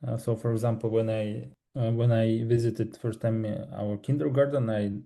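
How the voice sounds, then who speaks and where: Buryat man with a dark, halting voice. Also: on the low side, flat delivery, vocal fry. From Buryatia, Russia. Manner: halting speech with many restarts and fillers. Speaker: podcast guest. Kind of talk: conversational podcast interview.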